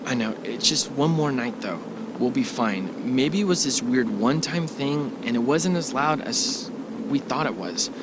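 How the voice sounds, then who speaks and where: A man's voice: mid-range voice, animated, a little slow, heavy background noise, natural voice. A man acting an affectionate, reassuring young husband in an amateur audio drama, speaking in a casual, slightly hesitant way. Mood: confused, reassuring. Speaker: actor in an amateur radio drama. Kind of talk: naturalistic audio drama dialogue.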